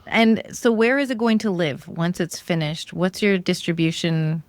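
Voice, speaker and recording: A woman's voice: on the low side, moderate pace, dry recording. A woman hosting a podcast, chatting casually and encouragingly with her guest. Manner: encouraging, affirming interjections. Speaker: podcast host. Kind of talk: casual interview chat.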